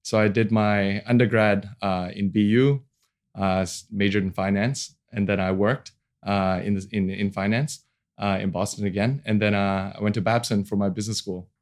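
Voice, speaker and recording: A man in his forties from Hong Kong speaks as a guest in a casual interview. His voice sits fairly low, and he feels his way through sentences, often dropping in "you know" as he goes. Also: steady tone, moderate pace. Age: 40s. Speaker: podcast guest, venture capital founder. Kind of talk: casual interview talk about own career.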